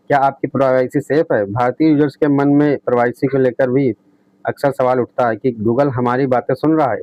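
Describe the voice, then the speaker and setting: A man's voice: medium pitch, no room sound. Dull-sounding male tech explainer. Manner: repetitive, often adds a checking tag. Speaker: tech video host. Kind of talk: explainer, reading points and paraphrasing them. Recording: dull lo-fi close recording.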